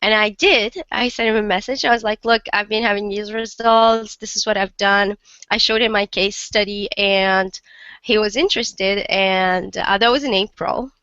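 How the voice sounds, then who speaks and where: Bright, clear-voiced sick woman from Morocco. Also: medium pitch, fairly even intonation, moderate pace, no echo, dull audio. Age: 30s. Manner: chatty, many fillers and restarts. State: sick, rough voice. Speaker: interview guest. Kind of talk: casual interview chat.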